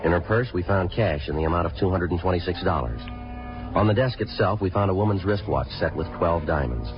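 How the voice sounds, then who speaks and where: An actor playing a terse, businesslike police detective, clipped and deadpan, in a 1950s radio police procedural. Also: male voice, deep voice, brisk, over music. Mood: flat, factual. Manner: clipped, deadpan, matter-of-fact. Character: terse, businesslike police detective, narrating his own case. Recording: muffled old radio broadcast recording.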